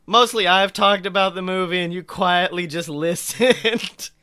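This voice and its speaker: A man co-hosting a podcast, casually bantering and swearing, with jokey, animated reactions. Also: sits high, measured pace, smooth, no room sound, clean studio sound. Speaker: podcast co-host. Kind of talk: casual banter and mocking fan fiction read-aloud.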